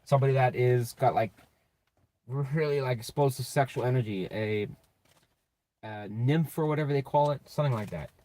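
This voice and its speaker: A man giving a casual, off-the-cuff tarot reading in a blunt, sweary, slangy way, with a slightly raspy voice. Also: mid-range voice, moderate pace, dark tone. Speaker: tarot reader and channel host.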